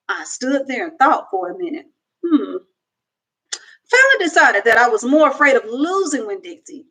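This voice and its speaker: A young woman reading a children's book aloud at home into a webcam, with slow, expressive storytelling. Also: a little high, husky, poor audio. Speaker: reader. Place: home room, indoors.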